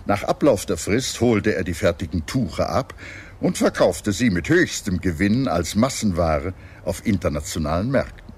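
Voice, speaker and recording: A man reading scripted historical narration as a documentary narrator, in a measured, formal manner. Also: deep voice, very animated, husky. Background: music behind the narration.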